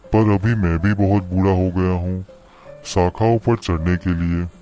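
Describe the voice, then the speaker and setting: A man's voice: sits low, steady tone, measured pace, mellow, dull audio, soft background music. A man voicing a self-absorbed, matter-of-fact grown villager in a children's cartoon story. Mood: resigned, tired.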